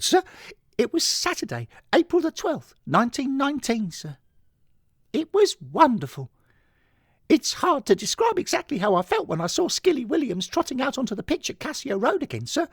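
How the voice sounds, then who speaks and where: A man voicing an eager, emotional young English lad in an audio story, excitable and deferential, forever adding "sir". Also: extremely high pitch, slightly rough, no echo, studio quality, voice pushed up, exaggerated voice. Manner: excitable, punctuates phrases with "sir". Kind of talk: scripted audio story monologue.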